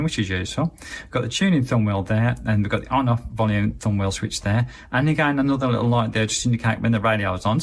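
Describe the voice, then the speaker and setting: A man's voice: on the low side, creaky, slight background noise. A man giving a casual, chatty and matter-of-fact hands-on review of a gadget. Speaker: product reviewer.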